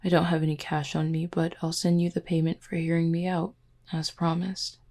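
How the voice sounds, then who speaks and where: A woman voicing a flirty, composed female werewolf second-in-command in an audio roleplay, teasing and measured, with a low, husky voice. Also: little pitch movement, a little mellow, natural voice. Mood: playful, teasing. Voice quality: low, husky, breathy with some creak. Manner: teasing, measured, deliberate wording. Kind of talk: roleplay audio drama, intimate one-sided dialogue.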